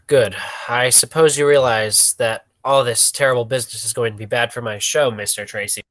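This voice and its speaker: An actor playing a businesslike, callous circus boss in a 1940s-style radio play, with emphatic stagey delivery. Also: male voice, medium pitch, steady tone, normal speed, a bit bright, close to an everyday voice. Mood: grave.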